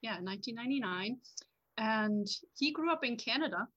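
A woman's voice: fairly high pitch, expressive, a little fast, a bit bright, lo-fi sound. A woman from Switzerland, a podcast guest, chatting casually and laughing often over a video call. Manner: laughs often, talkative, thinks aloud. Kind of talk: casual chat.

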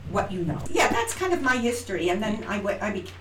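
A middle-aged woman, an actress and acting teacher, telling her story as an interview guest, animated and acting out quoted lines with frequent restarts. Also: mid-range voice, brisk. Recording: roomy, distant from mic, faint noise.

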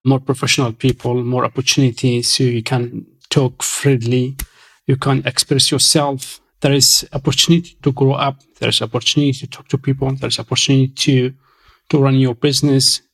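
Interview guest: Syrian Kurdish man, thirties, halting speech full of ums and restarts, mid-pitched voice. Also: flat delivery, gravelly, a bit bright, husky, rough recording. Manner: hesitant, many fillers and restarts. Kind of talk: casual interview.

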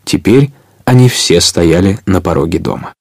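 A man's voice: low-pitched, normal speed, vocal fry, slight background noise. A man narrating an adventure audio play as a storyteller, scripted and dramatic.

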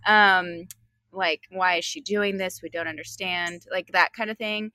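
Bright-voiced woman chatting outdoors. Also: lowish voice, unhurried, no echo. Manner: chatty, with many fillers, relaxed. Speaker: podcast co-host. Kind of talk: casual chat.

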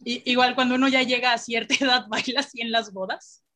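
A Mexican woman co-hosting a live stream, telling anecdotes in a lively, casual way. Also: fairly high pitch, fast. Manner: animated, storytelling. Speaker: co-host of a live stream show. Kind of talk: casual chat.

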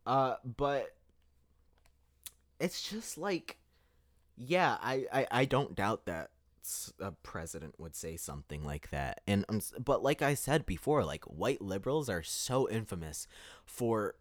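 A man's voice: lowish voice, sing-song, a little slow, no echo, studio quality. A man hosting a podcast, delivering a heated opinion monologue with emphatic restarts. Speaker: podcast host.

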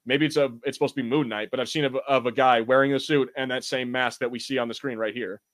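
A man with a medium-pitched voice co-hosts a casual pop culture podcast. He talks in a loose, rambling way, circling back over his points and swearing freely. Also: steady tone, fast, fairly bright tone. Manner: rambling, profane. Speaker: podcast co-host. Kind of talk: casual podcast banter about film and TV.

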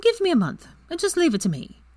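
A woman voicing a spirited, mischievous young witch in a high, playful voice. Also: wildly swooping pitch, fast, a little mellow, no echo, full character voice.

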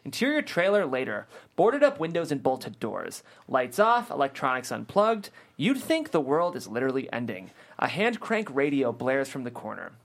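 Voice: mid-range voice, big pitch swings, normal speed, few pauses. A narrator reading scripted stage directions aloud at a table read.

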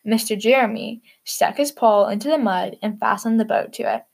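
A woman tells a children's story as narrator and host. Her voice sits a little high in pitch. She reads in a storybook style meant for young listeners. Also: fairly quick, vocal fry.

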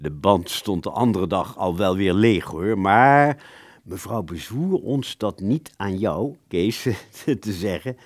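A smug, roguish musician in a radio play, with a deep voice that swings widely in pitch. He draws words out theatrically, recorded dry. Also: lively intonation, slow, dry recording, exaggerated voice. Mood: bantering. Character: roguish, self-satisfied male clarinettist recounting his exploits. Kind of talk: radio play storytelling in the first person.

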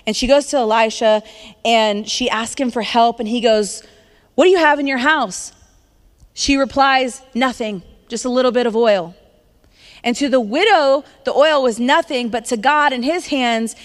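Upbeat woman preaching in a reverberant church. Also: fairly high pitch. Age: 30s. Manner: conversational, upbeat, laughs between points. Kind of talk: sermon. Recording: long hall reverb.

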